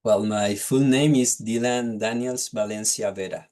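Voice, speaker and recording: A man's voice: on the low side, fairly even intonation, measured pace, mellow. A young man with a Chilean accent, answering exam questions hesitantly and often restarting phrases as he searches for words, over a video call. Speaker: test candidate. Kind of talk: unscripted speaking-test answers.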